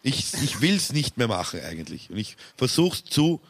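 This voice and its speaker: A young Viennese man hosting a casual podcast, an animated teller of anecdotes and jokes. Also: medium pitch, moderate pace, creaky. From Austria. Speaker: podcast host.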